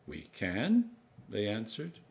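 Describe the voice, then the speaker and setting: A man in his sixties, an Anglican priest, reading scripture aloud in a measured, solemn, emphatic manner. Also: lowish voice, wildly swooping pitch, vocal fry, a little mellow. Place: home kitchen, indoors.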